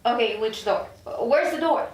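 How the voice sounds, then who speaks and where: Woman acting an exasperated, high-voiced, blunt heroine. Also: animated, moderate pace, natural voice. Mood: urgent. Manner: blunt, incredulous. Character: exasperated, impatient newly dead woman, blunt and desperate. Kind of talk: script table read. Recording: echoey room from far off.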